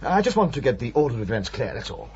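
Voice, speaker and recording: An actor playing a polite police inspector in a radio drama, asking questions patiently with a husky voice. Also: male voice, medium pitch, big pitch swings, quick pace, crowd noise, lightly acted. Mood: probing, courteous. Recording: dull, muffled radio recording.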